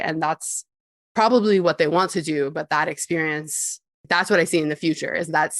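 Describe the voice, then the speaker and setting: A woman's voice: deep voice, no room sound, clean studio sound. A woman speaking as an expert guest in a podcast interview, explaining ideas in a thoughtful, articulate and conversational way, often saying "like". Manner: thoughtful, articulate, peppered with "like" and small chuckles. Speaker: interview guest, tech company CEO and co-founder.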